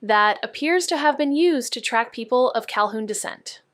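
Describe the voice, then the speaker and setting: A woman playing a serious, analytical investigator in an audio drama, speaking in a measured, precise way. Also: on the high side, lively intonation, dry recording, studio quality, natural voice.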